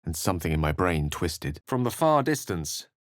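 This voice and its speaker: A low-voiced male wizard from a fantasy video game. He is learned and wry, phrasing his lines like a measured, theatrical storyteller. The sound is very dry. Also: big pitch swings, no echo. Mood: grave narration. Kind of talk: scripted video game character lines and narration.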